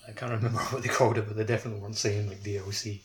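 Fast-talking, lowish-voiced man. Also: level tone, slight background noise. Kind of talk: casual chat.

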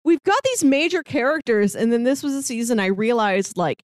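A woman co-hosting a podcast, talking casually and enthusiastically in an animated, chatty way. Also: fairly high pitch, moderate pace, dry recording, studio quality. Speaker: podcast co-host. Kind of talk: casual discussion of a TV drama.

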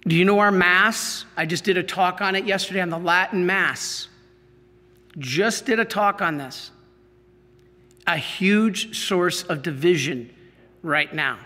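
High, breathy priest in a reverberant hall. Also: male voice, bright, forceful tone. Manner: slow storytelling, halting restarts, rising to emphatic teaching. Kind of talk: sermon with a personal story.

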